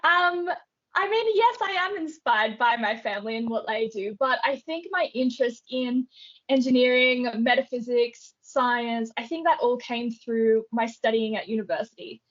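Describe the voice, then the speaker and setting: A young Australian woman answering interview questions in a relaxed, thoughtful way, with frequent ums. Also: a little high, normal speed, highs cut off. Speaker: guest artist.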